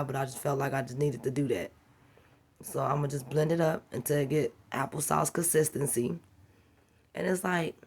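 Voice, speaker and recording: A woman with a slightly raspy voice from a cold, chatting casually and encouragingly as she walks through an easy home cooking task. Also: deep voice, a little mellow. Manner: chatty, rambling and encouraging, talks while working. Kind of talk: casual how-to cooking vlog.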